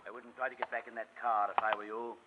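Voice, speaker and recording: An actor playing a stern, calm village constable in 1940s radio style on an old broadcast. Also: male voice, mid-range voice, fast, phone-quality sound, heavy background noise, lightly acted. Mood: stern, controlled.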